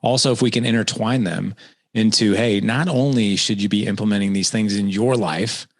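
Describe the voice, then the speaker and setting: A man's voice: fairly low pitch, normal speed. A man in his forties hosting a podcast interview, chatting casually and energetically, often repeating words. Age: 40s. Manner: energetic, repeats words, asks leading questions. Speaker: podcast host.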